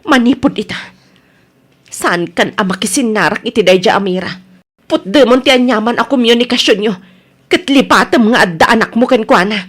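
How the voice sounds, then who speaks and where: A wronged wife in a radio drama, her voice distinctly high. She has a Filipino accent and an insistent, scolding way of pressing her demands. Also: normal speed, a bit bright. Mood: stern, reproachful. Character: aggrieved, demanding wife, firm and reproachful.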